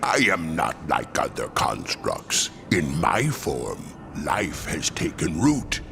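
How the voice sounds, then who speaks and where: Ancient hungry stone construct for a game: extremely low, gravelly, slow and formal, swelling widely over music. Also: male voice, huge pitch swings, pushed and bright, a little hiss or hum, exaggerated voice. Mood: brooding. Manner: slow, stilted formal speech. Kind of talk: video game character acting. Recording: music behind the voice.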